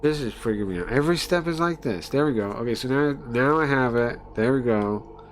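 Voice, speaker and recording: A man's voice: mid-range voice, expressive, brisk, slight background noise. A man livestreaming casually, thinking aloud, muttering to himself and repeating phrases as he goes.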